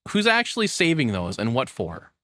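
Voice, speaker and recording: A man's voice: medium pitch, huge pitch swings, moderate pace, pushed and bright, no echo. A man livestreaming in a casual, rambling, self-deprecating way, sounding a little nervous as he chats and reacts. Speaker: streamer. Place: hot home room.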